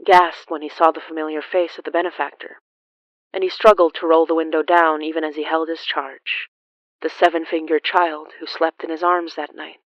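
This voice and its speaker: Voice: mid-range voice, little pitch movement, no echo. Solemn horror narrator with a muffled sound. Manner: solemn, deliberate, with dramatic pauses. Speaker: narrator of a horror fiction podcast. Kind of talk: scripted dramatic horror narration.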